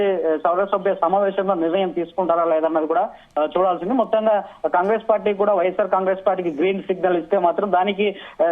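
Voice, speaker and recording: A man reporting live as a news correspondent, halting and restarting his words, over a tinny phone line. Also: sits high.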